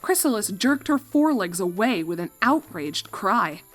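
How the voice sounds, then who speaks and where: A woman's voice: medium pitch, very animated, moderate pace, faint music behind. A woman reading a fan fiction story as a scripted narration.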